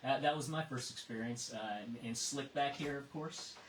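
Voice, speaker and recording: A middle-aged or older man acting as net control on a ham radio net, talking casually through check-ins and often halting to repeat words. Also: medium pitch. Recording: roomy, distant mic, faint noise.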